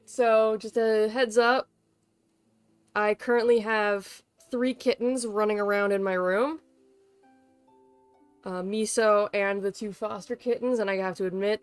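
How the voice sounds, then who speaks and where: A woman's voice: mid-range voice, clear, resonant tone. A woman livestreamer chatting casually to viewers in a playful, chatty way. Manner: playful, chatty, talks to pets. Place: bedroom at home.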